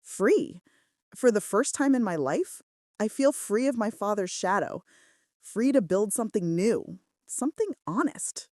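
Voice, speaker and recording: A woman's voice: medium pitch, lively intonation, measured pace, darkish tone, no echo, clean studio sound, natural voice. A woman voicing an earnest, devoted male mafia boss in love in a romance audiobook. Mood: earnest, grateful.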